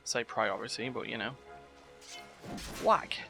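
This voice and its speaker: A man's voice: mid-range voice, fairly even intonation, takes it slowly, creaky, pushed and bright. A man giving live video game commentary, full of playful exclamations and muttered asides. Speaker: game streamer. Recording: game music behind the voice. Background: video game music.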